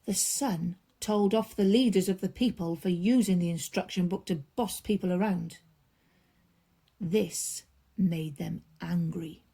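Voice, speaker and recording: A woman storyteller reading a children's bedtime story aloud, expressively, with drawn-out emphasis and hushed drops. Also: on the low side, gravelly, husky.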